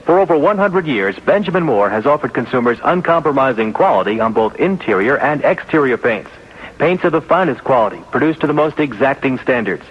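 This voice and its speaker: An American man with a husky voice reading a scripted radio advertisement. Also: mid-range voice, expressive, brisk. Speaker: commercial announcer. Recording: dull old radio commercial.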